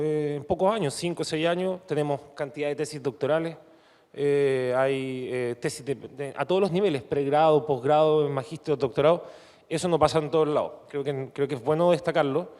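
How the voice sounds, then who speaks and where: A man's voice: on the high side, moderate pace, darkish tone. A Chilean man speaking unscripted as a panelist at a conference, often searching for his words. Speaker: guest panelist, academic. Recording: echoey hall with long reverb.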